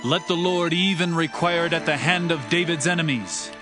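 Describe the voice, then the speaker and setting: Man acting loyal young prince, fairly high voice, solemn, earnest, declamatory, Bible drama over prominent music. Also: moderate pace, close to an everyday voice. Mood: solemn vowing. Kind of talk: dramatized Bible reading in archaic English. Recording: background music under the voice.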